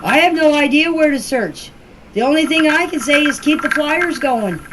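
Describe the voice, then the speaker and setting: A woman's voice: high-pitched, expressive, moderate pace, a bit bright, a little hiss or hum, low-quality recording. An older woman answering questions informally in a plain-spoken, insistent, repetitive way, recorded as an amateur voice clip. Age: older, grandmother. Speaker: relative speaking out about a missing children case.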